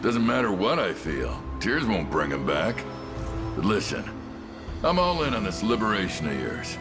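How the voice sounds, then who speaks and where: Low-voiced, swooping roguish mercenary boss. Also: male voice, very animated, normal speed, music in the background. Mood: frank, confident. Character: roguish mercenary boss turned knight, wry gambler. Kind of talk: video game cutscene voice acting.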